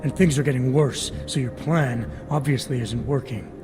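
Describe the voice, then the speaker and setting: An actor playing a stern, pragmatic agent in a video game cutscene, speaking in a blunt, matter-of-fact way. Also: male voice, medium pitch, normal speed, natural voice. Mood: blunt, irritated. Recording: music behind the voice.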